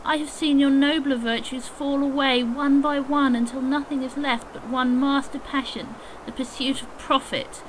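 A woman's voice: high-pitched, fairly even intonation, normal speed, few pauses, a little hiss or hum, lightly acted, poor audio. A woman playing an earnest, sorrowful young fiancée in an audio drama, speaking formally. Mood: sad reproach.

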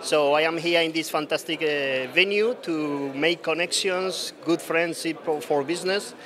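A man's voice: on the high side, moderate pace, a crowd in the background. A man in his forties, a company founder, speaking careful English as a second language in an event interview. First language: not English. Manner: careful non-native English. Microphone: handheld interviewer mic.

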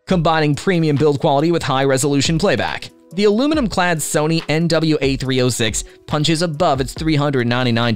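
A man reading scripted product review narration with a polished promotional delivery. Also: mid-range voice.

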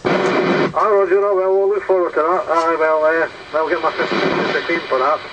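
Heavy radio noise surrounds a Scottish man with a fairly high-pitched voice. He chats casually over CB, repeating phrases and tagging on a friendly-sized "eh". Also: steady tone, moderate pace, highs cut off. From Scotland. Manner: chatty, repeats himself, tags sentences with "eh". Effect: heard over CB radio. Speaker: amateur CB radio operator. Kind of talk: casual two-way radio chat. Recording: very noisy CB radio transmission. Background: loud radio static and hiss.

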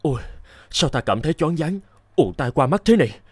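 Naive lovestruck young scholar, highish pitch, very lively intonation, earnest, cartoon fairy tale, dry sound. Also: male voice, fairly quick, husky, no room sound. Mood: flustered. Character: smitten young male scholar, naive, easily flustered. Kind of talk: animated fairy-tale dubbing.

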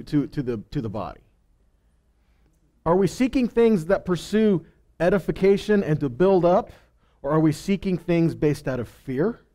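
Mellow, higher-voiced middle-aged male preacher. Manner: emphatic, rhetorical questions, stresses key words. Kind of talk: sermon, conversational teaching. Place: stage in a congregation hall. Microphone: headset.

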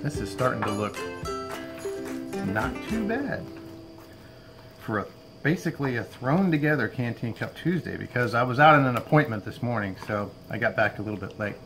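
A man in his fifties hosting a casual outdoor cooking demo, relaxed and chatty, often saying "all right", recorded on a camera mic on a patio. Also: mid-range voice, moderate pace, loud music behind the voice, low-quality recording. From Florida. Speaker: outdoor cooking channel host. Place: outdoor covered patio at a table.

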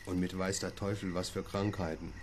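A man's voice: low voice, flat delivery, normal speed, noisy background, lightly acted. An actor playing an easygoing doctor and father in a radio play, casual and wry. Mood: wry, relaxed. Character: easygoing adult male doctor and family father. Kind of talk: German radio play acting.

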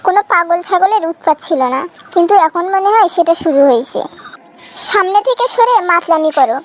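A woman's voice: extremely high pitch, lively intonation, a little fast. A woman playing an indignant, stern young sweetheart who scolds her lover in a comic cartoon, with a Bangladeshi accent. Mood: scornful. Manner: scolding, reproachful. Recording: noticeable background noise.

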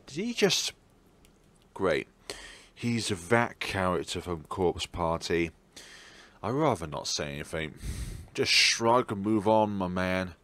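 A man with a fairly low voice comments on a game as he plays. His intonation swings widely, and he drops in dry, sarcastic remarks between bits of dramatic reading. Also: big pitch swings, slow pace, pauses often, a bit bright. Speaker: let's player reading a visual novel aloud. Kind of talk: gameplay commentary and dramatic read-aloud.

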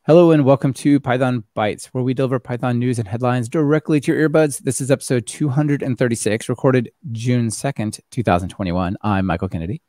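A man's voice: medium pitch, fairly quick, hardly any pauses, dark tone, no room sound. A middle-aged man hosting a live-stream podcast, upbeat and welcoming, on a studio mic in a home office. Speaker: podcast host. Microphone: studio mic on boom arm.

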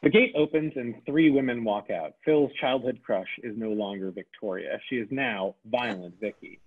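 A man reading the scene and stage directions aloud at a table read, in a plain, brisk way. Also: mid-range voice, slightly rough. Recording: tinny phone-like sound.

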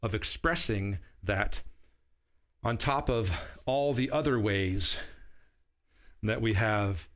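A man's voice: lowish voice, slow pace, long pauses, fairly bright tone. A man in his forties preaching at a table in a small studio. He explains his verses one by one in an earnest teaching tone and now and then checks that his listeners are following. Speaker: pastor. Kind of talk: sermon. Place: small studio set with a wooden wall. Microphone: seated at a table, camera at medium distance.

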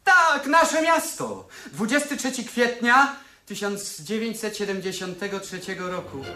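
An actor playing an earnest smuggler who tells of a wondrous future world in a 1970s radio play. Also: male voice, sits high, animated, a little slow, bright, forceful tone, faint music behind, exaggerated voice. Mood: amazed. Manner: earnest, wondering. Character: amazed male smuggler, witness of a future world.

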